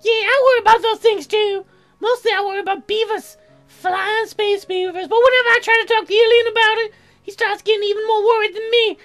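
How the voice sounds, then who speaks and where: A man voicing a crude, silly, excitable, childlike woman in a comic visual novel, rambling in a dim-witted way. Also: very high voice, brisk, faint music behind, voice pushed up.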